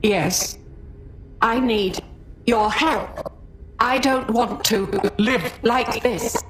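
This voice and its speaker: An actress playing a tormented, fearful woman trapped as a digitised mind in a video game, speaking in halting, pleading fragments. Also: on the high side, slight background noise. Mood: desperate pleading. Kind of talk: cinematic video game acting.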